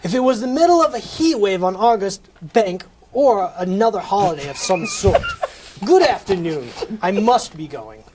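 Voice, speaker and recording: A man's voice: high voice, wildly swooping pitch, moderate pace, background noise. A man reading the part of a stingy, cold miser in a comic table read, curt and dismissive. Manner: curt, dismissive, dryly haughty.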